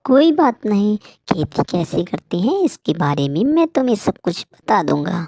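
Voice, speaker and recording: A woman's voice: medium pitch, very animated, normal speed, dark tone, dry recording, clean studio sound. A woman voicing a kind, hardworking young male rabbit farmer in a cartoon moral story, earnest and helpful in manner. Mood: earnest, friendly.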